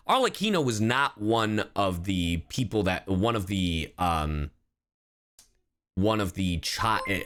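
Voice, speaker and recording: A man streaming live reaction commentary, casual and expressive, slangy and swearing freely. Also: lowish voice. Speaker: streamer, host.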